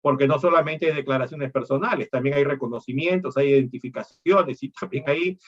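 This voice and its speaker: A man's voice: mid-range voice, fairly quick, muffled recording. A middle-aged man from Peru, lecturing on law and explaining things with rhetorical questions.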